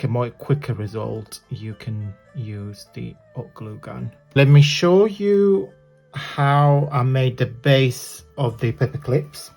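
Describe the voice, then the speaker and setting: A man with a croaky, gravelly voice talks unhurriedly through a hands-on craft tutorial at his desk. He is chatty and apologetic, trailing off as he works, and sounds a little husky throughout. Faint music plays underneath. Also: mid-range voice, a little mellow.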